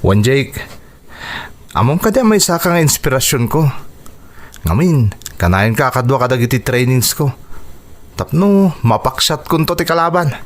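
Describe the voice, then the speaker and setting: A man's voice: mid-range voice, very animated, normal speed, pauses often, close to an everyday voice. Man acting an earnest, determined boxer. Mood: grateful, determined. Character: earnest male boxer, determined yet anxious before a fight. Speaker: radio drama actor. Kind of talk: radio drama dialogue in Ilocano.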